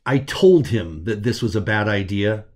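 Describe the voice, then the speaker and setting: A man's voice: fairly low pitch, expressive, moderate pace, darkish tone, voice pushed down. A stern cyborg second-in-command acted by a man speaks in a science fiction audiobook. He is an impatient male officer with a worried, threatening edge. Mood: frustrated worry. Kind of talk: audiobook character dialogue.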